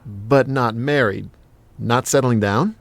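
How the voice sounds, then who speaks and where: An actor playing a cheeky, big-hearted casual lover in an audio drama, with blunt, slangy, joking talk. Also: male voice, medium pitch, slow pace, creaky, dry recording.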